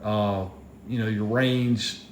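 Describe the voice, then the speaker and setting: A man's voice: fairly low pitch, fairly even intonation, slow pace. A man casually reviewing gear as a radio hobbyist, plainspoken and rambling as he explains things. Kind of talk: casual product review vlog. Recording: echoey room, faint background noise.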